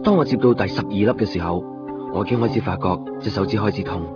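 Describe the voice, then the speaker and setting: A man's voice: fairly low pitch, fast, close to an everyday voice. A man with a Hong Kong accent playing a stubborn, devoted, desperate young man in a radio drama. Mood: resigned, determined. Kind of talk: Cantonese radio drama acting with inner monologue. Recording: muffled 1990s radio recording, music behind. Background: music.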